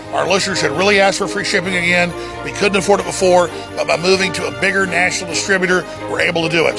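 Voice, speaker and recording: An American man delivering an enthusiastic, salesy radio ad pitch as a host. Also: gravelly. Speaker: host reading store promotion. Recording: music bed under the voice.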